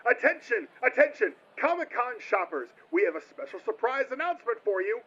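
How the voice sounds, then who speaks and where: A man voicing a brash, pushy male convention announcer, loud and insistent, in an amateur comic dub. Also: very high voice, lively intonation, a little fast, muffled recording, pitched above the performer's own voice, full character voice. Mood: announcing.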